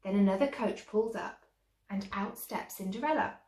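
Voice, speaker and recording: A woman's voice: mid-range voice, moderate pace, darkish tone. A woman storyteller reading a children's tale expressively, like a teacher to young listeners. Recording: echoey room.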